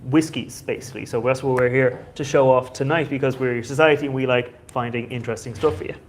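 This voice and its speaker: A man's voice: medium pitch, fairly even intonation, fast, a little hiss or hum. A man hosting a whiskey society tasting night, chatty and loose, with many fillers.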